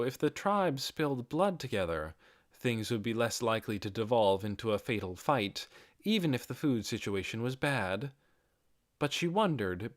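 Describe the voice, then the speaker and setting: A man reading a fantasy audiobook as narrator, in a measured and expressive dramatic storytelling style. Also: on the low side, no room sound, clean studio sound.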